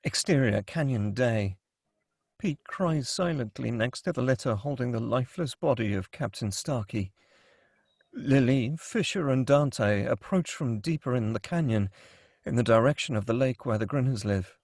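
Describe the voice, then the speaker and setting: A man's voice: mid-range voice, expressive, moderate pace, a little mellow, dry recording, studio quality. A man reading screenplay stage directions as narrator at a table read.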